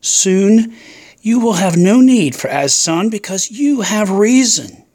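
A performer plays a seductive snake from an audio drama, grand and taunting. The creature draws out its promises slowly and persuasively, tempting with visions of godlike reason. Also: medium pitch, animated, darkish tone, pitched above the performer's own voice.

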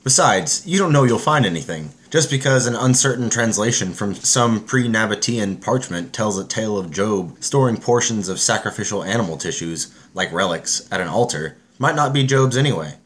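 An actor playing a wary, devout middle-aged American scientist and ex-soldier, steady and earnest. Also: male voice, lowish voice, normal speed, few pauses. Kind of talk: audio drama acting.

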